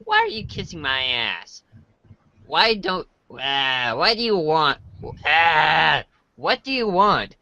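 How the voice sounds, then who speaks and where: A man's voice: a little high, big pitch swings, takes it slowly, bright, forceful tone, no room sound, muffled recording, heavily acted. A man playing a skeptical, annoyed human boy in an amateur reader's theater, talking in a sullen, hesitant way. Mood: wary, questioning.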